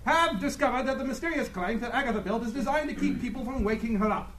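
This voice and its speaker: Man as a bright, high-voiced radio serial announcer. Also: fast, roomy sound, a little hiss or hum. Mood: wry, grandiose. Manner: grandiose, mock-dramatic. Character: melodramatic tongue-in-cheek serial announcer. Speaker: announcer and narrator. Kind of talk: old-time radio serial narration, comic.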